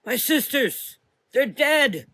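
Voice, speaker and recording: Guy as a stern zealot matriarch, high forceful voice, imperious, gothic audio drama. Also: big pitch swings, takes it slowly, exaggerated voice. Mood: dismayed. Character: stern, zealous warrior mother superior, commanding and unforgiving.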